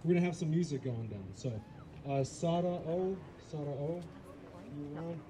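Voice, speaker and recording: A man's voice: a little high, normal speed, noisy background. A man emceeing an outdoor rally, casually announcing the next speakers. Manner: casual, brief introductions. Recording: distant voice over loud crowd.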